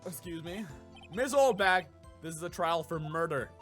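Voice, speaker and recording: A man performs a young male defense attorney from a courtroom game. He sounds earnest and easily flustered, pressing and stammering in disbelief. His pitch jumps about in a lively way, with faint music underneath. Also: normal speed. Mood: startled, insistent.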